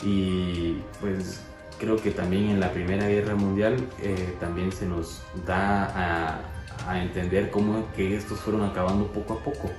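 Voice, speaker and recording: A man's voice: low-pitched, level tone, measured pace. A man explaining history as co-host in a casual educational chat, with many fillers and restarts. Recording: echoey room with music.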